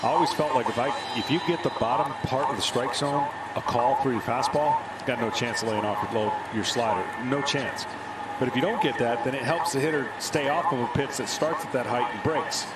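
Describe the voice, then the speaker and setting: A man with a mid-pitched voice gives live baseball analysis in a conversational, explanatory manner. Heavy stadium noise sits behind him. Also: a little fast. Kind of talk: live sports analysis commentary. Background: loud ballpark ambience.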